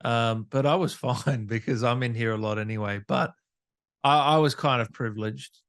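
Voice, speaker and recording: An Australian man speaks as an interview host in a medium-pitched voice. His delivery is casual and conversational. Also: normal speed, a bit bright.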